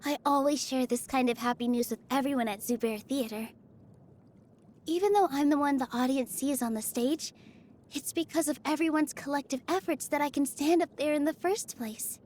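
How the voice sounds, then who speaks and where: An actress voicing a gentle, cheerful young woman dancer in a video game, speaking in a sweet, earnest, easily flustered manner. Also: high-pitched, moderate pace, natural voice. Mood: warm, earnest. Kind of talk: video game character voice lines.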